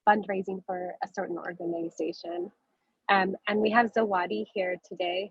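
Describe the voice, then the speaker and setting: Breathy woman hosting an online workshop. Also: medium pitch, no echo. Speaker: workshop organizer. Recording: dull, dry video call audio.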